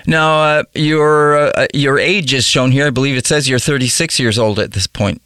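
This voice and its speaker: A man hosting an interview, asking questions haltingly with many "uh"s and encouraging his guest. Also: medium pitch, clear, resonant tone, no echo, clean studio sound. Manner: warm, hesitant, many "uh"s, encouraging. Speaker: interview host.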